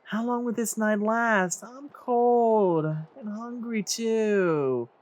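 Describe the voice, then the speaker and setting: A man's voice: high-pitched, very slow, pitched above the performer's own voice. A man voicing a starving, pleading, darkly comic cave tribesman in a theatrical read-aloud of game text. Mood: whiny, hungry.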